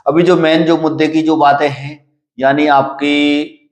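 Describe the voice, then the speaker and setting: A man giving informal, instructive advice to exam candidates like a coach, repeating himself and often pausing on filler phrases. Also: on the high side, level tone. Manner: repetitive, halting, with filler phrases and check-questions; instructive, paternal address to students. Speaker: coach at a physical training academy for police and army recruitment. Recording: echoey room.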